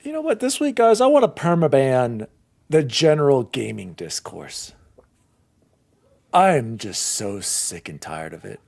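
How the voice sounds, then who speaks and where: Animated, ranting male podcast host. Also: medium pitch, unhurried, creaky. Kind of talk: casual podcast chat.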